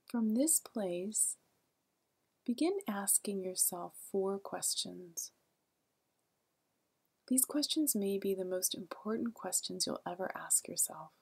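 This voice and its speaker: A woman leading a guided meditation in a calm, gentle, soothing way, with a soft, breathy voice and long pauses. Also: mid-range voice.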